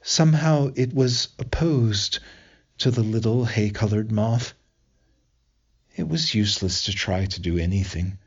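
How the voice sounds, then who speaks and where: Slow, deliberate man reading literary prose. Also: lowish voice, slightly rough, highs cut off. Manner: measured, deliberate, with pauses between phrases. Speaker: reader of a literary essay.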